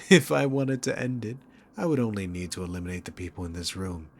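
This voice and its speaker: A man's voice: low-pitched, lively intonation, slight background noise. A man playing a smug, sarcastic, dominant demon king villain in an ASMR roleplay, mocking and condescending as he addresses the listener. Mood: mocking, boastful. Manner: mocking, condescending, unhurried, punctuated by chuckles.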